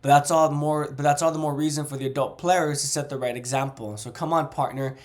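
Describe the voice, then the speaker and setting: A man's voice: a little high, fairly even intonation, a little fast, natural voice. A man with a cold reading aloud the earnest, rallying lines of an idealistic young male card player. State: congested from a cold.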